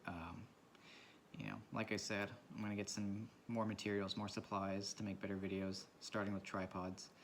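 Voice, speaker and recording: A man with a naturally low, deep voice talks softly and unhurriedly, drifting from thought to thought as he sketches. The sound comes from the camera's own microphone, with a faint hiss underneath. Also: little pitch movement, moderate pace. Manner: speaks quietly, rambling and reflective. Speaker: vlogger. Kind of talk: casual talk while drawing. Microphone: camera mic from a propped camera.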